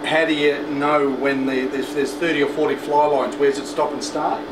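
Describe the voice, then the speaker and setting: A man in his fifties hosting a casual factory tour, chatting through explanations with pauses. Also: fairly high pitch, flat delivery. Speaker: host presenter. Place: indoors in a large factory and workroom. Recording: echoey factory, loud machine hum, distant mic.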